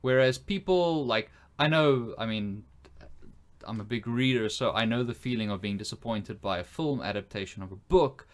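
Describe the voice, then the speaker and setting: A man's voice: mid-range voice, moderate pace. A man co-hosting a casual film podcast, thinking aloud in an informal, tentative way. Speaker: podcast co-host.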